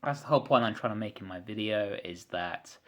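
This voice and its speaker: A man in his mid-thirties talks straight to his camera in a long, frustrated rant. He circles back over his words, stumbles on repeats and swears freely. His throat clearing keeps breaking into the flow. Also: on the low side, a little fast, fairly bright tone. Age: 30s. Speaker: vlogger. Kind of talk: frustrated ranting monologue to camera.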